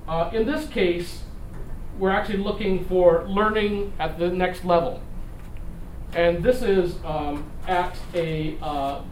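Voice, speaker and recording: Middle aged guy lecturing kinda off the cuff, highish voice, stop-start phrasing, far from the mic. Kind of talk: conversational lecture with rhetorical questions. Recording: echoey room, distant mic, noisy background.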